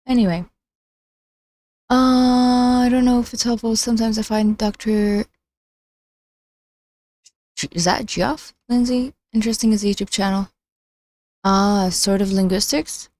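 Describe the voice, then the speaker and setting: A woman hosting a casual livestream, chatting in a halting way as she thinks out loud. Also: fairly high pitch, steady tone. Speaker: livestream host.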